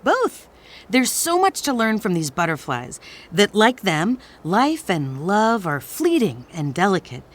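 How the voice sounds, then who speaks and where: A theatrical female romance novelist in a comic audio drama. Her mid-pitched voice rises and falls widely. She speaks in florid, dramatic phrases with the wounded pride of a divorcing writer. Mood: florid, bitter. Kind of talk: audio drama comedy acting.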